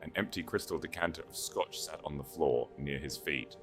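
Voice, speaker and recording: A man's voice: extremely low pitch, normal speed, fairly bright tone, faint music behind. A man reading a dramatic scripted romance as an audiobook narrator.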